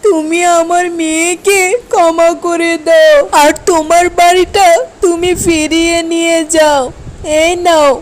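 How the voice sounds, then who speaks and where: A woman's voice: extremely high pitch, level tone, a little slow. A woman voicing an anxious, protective mother fox in a children's cartoon, with a plaintive, imploring manner. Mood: pleading.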